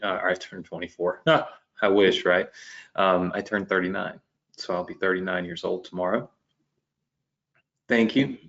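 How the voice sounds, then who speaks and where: A low-voiced man in his late thirties hosts a live online business show, chatting loosely and circling back with lots of fillers and restarts. The stream audio is dull and lo-fi, and he sounds like he's at a home webcam setup. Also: fairly bright tone. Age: 30s. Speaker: host of a live business webinar. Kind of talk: casual live-stream talk. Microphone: webcam setup at home desk.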